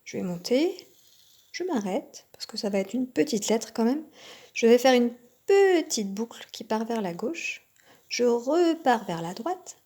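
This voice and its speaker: A woman teaching a kindergarten class by video, speaking gently and articulating clearly. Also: a little high. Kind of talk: teaching young children by video.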